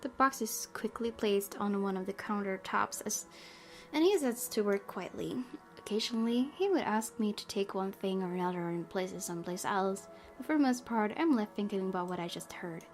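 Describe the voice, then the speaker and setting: A woman reads story narration from a visual novel as a gaming host, dropping in her own asides. Her voice is medium in pitch, and guitar music plays clearly behind her. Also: expressive, normal speed. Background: guitar game music.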